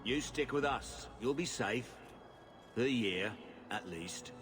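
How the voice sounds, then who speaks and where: Blunt merc captain in a video game, unhurried slow talk, rough mouthed, music behind. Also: male voice, medium pitch, animated. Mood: confident, recruiting. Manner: rough, plain-spoken. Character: tough, blunt male mercenary captain. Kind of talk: video game cutscene acting.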